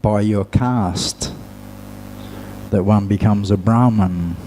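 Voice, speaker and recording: A man giving a Buddhist talk as a monk and teacher, speaking in a measured, halting way with long pauses. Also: fairly low pitch, warm tone, slight background noise, rough recording. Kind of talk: dhamma talk to an audience.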